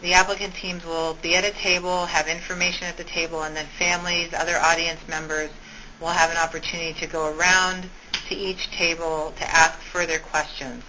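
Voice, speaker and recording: A woman's voice: lowish voice, little pitch movement, fairly quick. A woman giving an informal, methodical step-by-step briefing over a conference call. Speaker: school meetings organizer briefing a conference call. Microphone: telephone. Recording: muffled conference phone line, faint noise.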